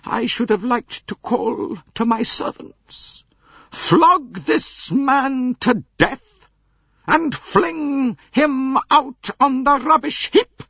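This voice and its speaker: A man voicing a proud, bitter elderly princess in an audiobook, speaking with slow, haughty, dramatic emphasis. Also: extremely high pitch, animated, gravelly, pushed and bright, husky, no echo, lo-fi sound, voice pushed up, heavily acted. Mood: vengeful.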